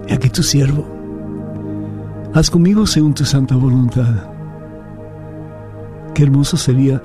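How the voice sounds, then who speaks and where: A man speaking as a priest and programme host, reverent and conversational. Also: mid-range voice. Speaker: priest hosting a call-in programme. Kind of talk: devotional prayer and unscripted interview. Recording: soft new-age music behind the voice. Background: new-age music.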